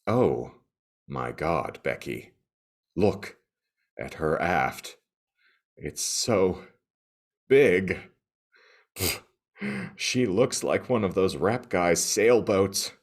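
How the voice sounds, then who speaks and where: A man's voice: low voice, animated, drawn-out words, long pauses, full character voice. A snooty young valley girl, acted out by a man, gossips with drawling, exaggerated disgust. The delivery swoops up and down and stretches words out for a comic reading. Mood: mock disgust.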